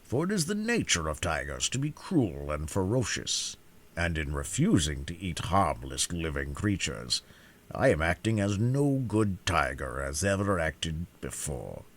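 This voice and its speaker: Storybook tiger played by a man, huge, hungry, grave, very deep. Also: very animated, a little slow, full character voice. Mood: rueful. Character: huge hungry male tiger, gentle and conscientious.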